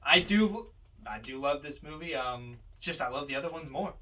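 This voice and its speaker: A man's voice: fairly high pitch, animated, normal speed, bright, forceful tone. A man chatting casually as a podcast co-host, giving his opinions in a film ranking discussion. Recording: slightly distant microphone.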